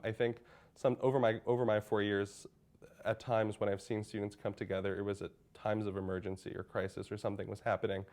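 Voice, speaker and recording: A man's voice: on the low side, a little mellow. A young man, a college senior, giving earnest interview answers with frequent restarts and fillers. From United States. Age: young adult.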